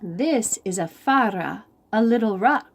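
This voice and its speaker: A woman's voice: medium pitch, lively intonation, measured pace. A woman voicing a sweet, kindly little male chameleon in a children's storybook read-aloud. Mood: gently explaining.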